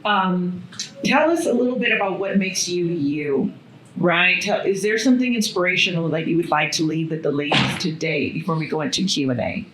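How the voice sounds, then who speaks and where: A woman moderating a panel, briskly directing the panelists. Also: lowish voice, a bit bright, reverberant, a little hiss or hum, low-quality recording. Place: hotel event room at a brunch.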